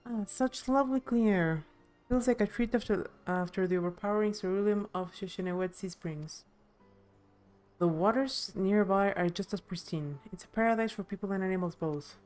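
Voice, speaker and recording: A woman's voice: mid-range voice, normal speed, darkish tone, soft background music, lightly acted. A woman voicing an observant, earnest travelling companion in a fantasy game, reading live with slight stumbles. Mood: appreciative. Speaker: streamer voicing game dialogue. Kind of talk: game dialogue read aloud.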